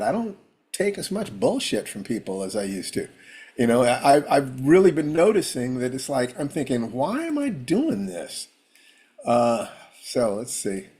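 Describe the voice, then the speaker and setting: A man hosting a live stream from home, talking casually in a rambling way, with a rough, hoarse voice and frequent throat clearing. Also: mid-range voice, darkish tone.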